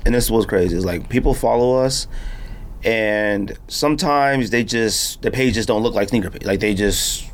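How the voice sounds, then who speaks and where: A man's voice: lowish voice, moderate pace. A man hosting a podcast, chatting casually in slangy, rambling stories with a guest. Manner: informal, slangy, rambling storytelling. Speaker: podcast host. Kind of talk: casual conversational interview. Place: podcast studio, in-person conversation.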